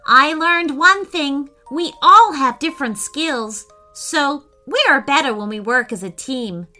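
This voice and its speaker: A woman voicing an earnest, excitable young boy adventurer from a children's storybook, with an urgent manner. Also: high voice, unhurried, faint music behind, pitched above the performer's own voice, full character voice. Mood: thankful, earnest.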